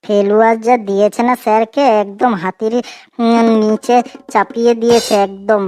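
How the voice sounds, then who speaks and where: A woman's voice: a little high, level tone, normal speed, dark tone, natural voice. A woman voicing a cheeky, eager schoolboy who speaks hesitantly in a comedy classroom cartoon. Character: cheeky schoolboy reciting silly verse and answers in class. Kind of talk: comedic cartoon dialogue, singsong recitation.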